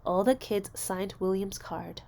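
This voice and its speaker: A woman narrating a children's story aloud, expressively, with deliberate phrasing and dramatic emphasis. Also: mid-range voice, gravelly, dark tone. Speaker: storybook reader.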